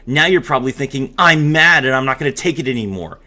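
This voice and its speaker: A man's voice: mid-range voice, animated, fast, sharp tone. A man hosting a card game video, mixing casual commentary with theatrical, emphatic reading aloud.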